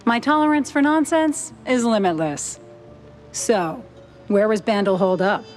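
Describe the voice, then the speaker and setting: An actress playing a sharp, skeptical newspaper reporter in a noir cartoon game, probing with dry, unflappable wit. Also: female voice, medium pitch, unhurried, soft background music, natural voice. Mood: dry, curious.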